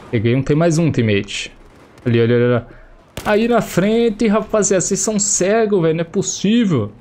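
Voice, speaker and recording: A man's voice: fairly high pitch, a little mellow, a little hiss or hum. A young man with a Brazilian Portuguese accent, chatty and slangy, commenting on his own gameplay through a headset while still getting over a cold. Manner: chatty, slangy, exclaims at the action. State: recovering from a cold, voice slightly affected. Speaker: gameplay streamer. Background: faint game gunfire and explosions.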